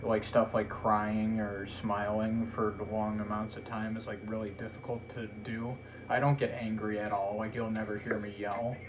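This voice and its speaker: A man talking in a flat, monotone way in a casual podcast chat. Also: fairly low pitch, moderate pace, few pauses, background noise. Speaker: podcast host.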